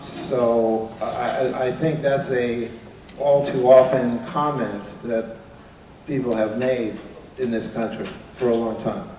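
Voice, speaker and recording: Halting man interviewing on stage. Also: mid-range voice, fairly even intonation, warm tone, echoey room, poor audio. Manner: halting, with many restarts. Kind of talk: onstage interview. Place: event hall with an audience.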